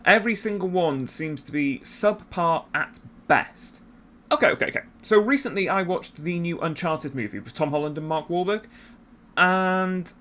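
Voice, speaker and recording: A man's voice: high voice, fairly bright tone. A man hosting a YouTube channel, giving casual commentary in a conversational way with self-deprecating asides. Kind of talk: casual video essay commentary.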